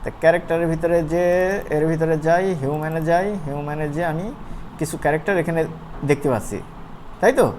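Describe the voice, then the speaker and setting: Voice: medium pitch, steady tone, quick pace, a little mellow, slight background noise. An animation course instructor with a regional Bangladeshi Bengali accent, teaching informally and often checking in with questions. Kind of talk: informal live software tutorial.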